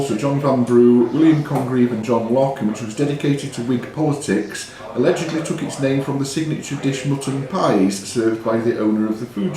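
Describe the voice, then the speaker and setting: A man hosting a quiz, reading questions deliberately and giving short, curt rulings on the answers. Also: mid-range voice. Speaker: quizmaster. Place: indoors in a pub. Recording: very echoey room with pub crowd. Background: clear crowd chatter.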